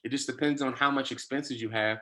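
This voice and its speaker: A man presenting on a webinar over a video call, answering an audience question with quick, explanatory talk and fillers. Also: medium pitch, fairly even intonation. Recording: faint noise and clicks.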